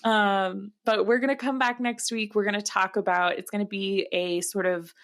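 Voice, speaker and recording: A woman's voice: mid-range voice, fairly even intonation, normal speed, fairly bright tone. A woman co-hosting a podcast, chatting casually about books in a conversational style. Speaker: podcast co-host. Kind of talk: casual book chat.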